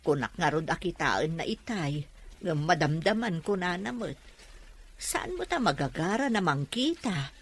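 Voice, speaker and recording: A woman's voice: on the low side, very animated, measured pace, full character voice. A woman playing a concerned, welcoming older village mother in an audio drama, homely in manner. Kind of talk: Ilocano audio drama acting.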